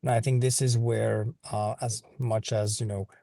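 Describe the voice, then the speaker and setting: A man from Switzerland answering on a live panel, talking in measured sentences and often hedging his points. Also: fairly low pitch, little pitch movement, gravelly, mellow, no room sound. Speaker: business partner on a panel.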